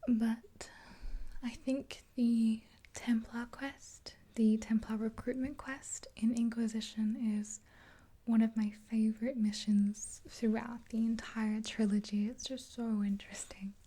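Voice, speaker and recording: A woman in her twenties whispering a gentle ASMR roleplay in a breathy, unhurried, hesitant voice, close to the mic. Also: fairly high pitch, level tone. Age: 20s. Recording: hissy close recording with clicks.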